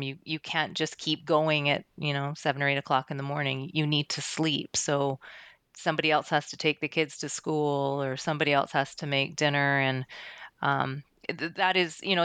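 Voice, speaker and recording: A woman's voice: low-pitched, a bit bright, clean tone, no room sound. A middle-aged woman giving candid, explanatory answers in a conversational interview. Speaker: interview guest, air traffic controller and career coach.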